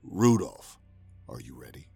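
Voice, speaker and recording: An actor playing an ancient, weary male traitor soldier, persuasive and often hushed. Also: very deep voice, big pitch swings, a little slow, creaky, husky, no room sound, exaggerated voice. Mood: urgent. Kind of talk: audio drama acting. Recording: loud music underneath.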